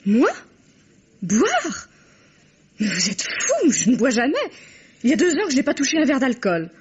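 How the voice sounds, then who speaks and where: An actress playing a haughty, frightened young woman in a vintage radio drama, defiant and sharp-tongued. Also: high voice, huge pitch swings, moderate pace, husky, exaggerated voice. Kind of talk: 1950s French radio drama acting. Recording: dull old radio recording.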